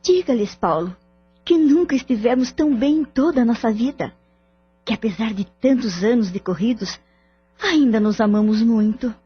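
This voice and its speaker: Woman playing husky, proud Brazilian wife. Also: fairly high pitch, lively intonation, normal speed, highs cut off. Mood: feigned affection. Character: proud, wounded middle-aged wife and mother. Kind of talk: audio drama acting. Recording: band-limited recording with music bed.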